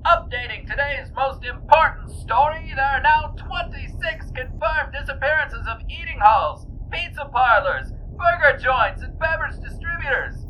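A woman acting as an excitable news reporter, delivering a dramatic scripted newscast. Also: high-pitched, moderate pace, hardly any pauses, music in the background, poor audio. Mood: urgent, dramatic. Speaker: news anchor.

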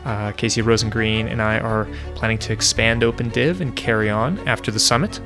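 A man hosting a podcast, introducing a guest and asking questions in a relaxed way, often saying "uh". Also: medium pitch. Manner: relaxed, with frequent "uh"s. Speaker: podcast host and interviewer.

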